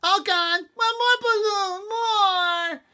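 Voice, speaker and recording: Shrill messy toddler boy, very high and bright. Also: very animated, drawn-out words, creaky, exaggerated voice. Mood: wailing. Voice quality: shrill, sing-song. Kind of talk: storybook reading aloud.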